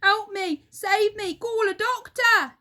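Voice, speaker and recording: A woman voicing a bad-tempered, blustering old husband from a storybook, yelling his lines. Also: very high-pitched, takes it slowly, voice pushed up, heavily acted. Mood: panicked.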